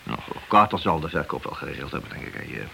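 An actor playing a brusque, hard-nosed police detective in a radio drama, with a blunt manner. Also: male voice, extremely low pitch, expressive, fast talker, gravelly, pushed and bright, exaggerated voice. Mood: matter-of-fact. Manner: blunt, needling. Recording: dull, noisy old radio play recording.

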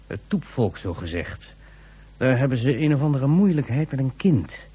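A gruff tribesman, with a dark, rough-edged voice of middling pitch. He speaks deliberately and with some condescension, as a character in a radio play. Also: very animated. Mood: wry, guarded.

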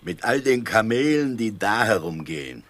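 An actor playing a weary, disgruntled convict sailor in a satirical radio play. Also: male voice, lowish voice, very animated, normal speed, fairly bright tone, no room sound.

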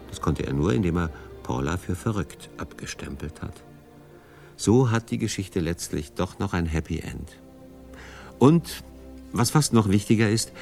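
A man reading scripted narration for a radio documentary. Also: on the low side.

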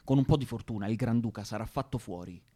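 An actor playing the stern, authoritative leader of a revolutionary cell, declaiming in a radio drama. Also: male voice, lowish voice, quick pace, dark tone, lightly acted. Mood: firm. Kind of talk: theatrical radio drama acting.

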